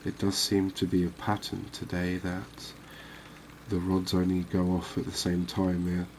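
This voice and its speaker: A soft-spoken man giving unhurried, soothing ASMR commentary over a video game. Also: sits low, monotone, slight background noise. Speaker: gaming video host.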